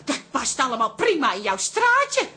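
Shrill, wailing, dramatic aggrieved wife. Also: female voice, huge pitch swings, normal speed, pushed and bright, heavily acted. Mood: exasperated. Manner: wailing, exasperated, folksy. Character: aggrieved, dramatic wife fed up with her meddling father. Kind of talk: radio comedy-drama acting.

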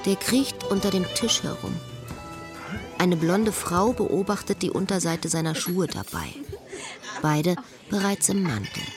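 A woman's voice: fairly low pitch, expressive, a little slow, over music. An actress playing a bitter, watchful woman who narrates and talks to a former lover in a sardonic, observant way in a literary radio play. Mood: detached, observant.

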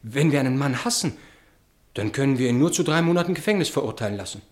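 A man's voice: medium pitch, animated, quick pace, natural voice. An actor playing an earnest, dissatisfied romantic man, declamatory and theatrical in a 1960s German radio play. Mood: yearning, indignant.

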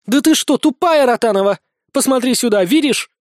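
A man's voice: very high voice, animated, a little fast, no room sound, clean studio sound, voice pushed up. A man voicing a furious, haughty winged female creature in an audiobook, snarling and blunt.

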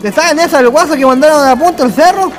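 A man's voice: very high voice, fast. An actor playing a folksy rustic man with a rural Chilean accent, telling anecdotes in a radio drama. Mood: storytelling. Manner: folksy, colloquial. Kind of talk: radio drama acting, colloquial storytelling. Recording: long reverb, faint music behind.

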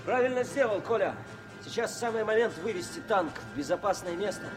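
Keen young wartime reporter, high voice, warm, old radio play, faint music. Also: male voice, expressive, brisk. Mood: urgent. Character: eager, warm young army journalist and political officer. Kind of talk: Soviet radio drama acting.

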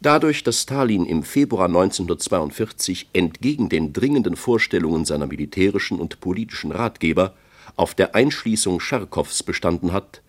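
Deep, emphatic Soviet political orator. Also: male voice. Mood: bitter, emphatic. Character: male Soviet leader Khrushchev delivering a grave accusatory speech. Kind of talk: formal political speech in a radio play.

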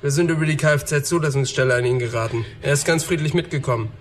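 An actor playing a confident, casual police liaison with a wry manner in a radio drama. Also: male voice, on the high side, fast talker, natural voice.

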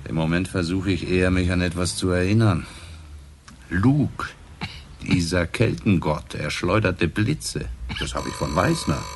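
A man's voice: low-pitched, a little slow, a little hiss or hum. A man acting a shrewd, laconic police inspector in a radio crime drama, speaking in a terse, probing, dry way. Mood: probing, musing.

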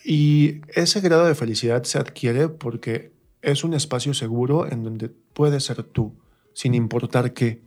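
A man with a Mexican accent and a mid-range voice speaks as an interview guest. His delivery is colloquial and reflective, like someone thinking through ideas in a relaxed chat. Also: medium pitch, measured pace. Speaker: interview guest, stage actor. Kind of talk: casual interview conversation.

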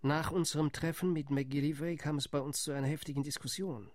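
A wary male delegate in a radio play, doubtful and deliberate, as an actor plays him. His voice sits fairly high for a man, with a grave, reporting tone. The studio sound is close and dry. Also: steady tone, a little mellow, no echo, close to an everyday voice. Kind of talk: radio drama monologue.

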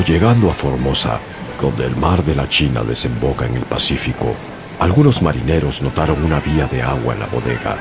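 A man narrating as a sailor in a scripted radio drama, in measured storytelling. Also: a bass voice, creaky, heavily acted. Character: young sailor narrating his voyage.

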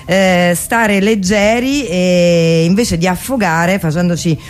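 A woman's voice: fairly low pitch, takes it slowly, clean tone. A woman with a Roman accent introducing a radio show in a wry, playfully literary way with colloquial asides. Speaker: radio host. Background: music.

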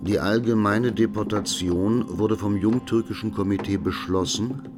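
A man's voice: lowish voice, normal speed, natural voice. An actor playing a sober male document reader, stating facts formally in a documentary radio drama. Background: music.

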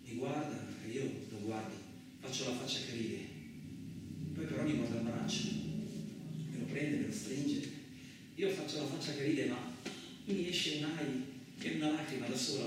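An actor playing a frightened, injured farm labourer, telling his story in the first person as a halting, repetitive theatrical radio monologue. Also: medium pitch, noisy background, close to an everyday voice. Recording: very echoey, distant, loud music behind. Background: music.

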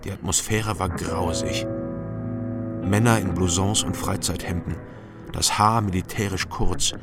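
Wary sober ex-drinker sleuth, lowish restrained voice, radio drama, music clearly behind. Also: male voice, steady tone, measured pace. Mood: dry narration. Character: sober, wary former alcoholic, an amateur investigator in East Germany. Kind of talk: radio drama acting with first-person narration.

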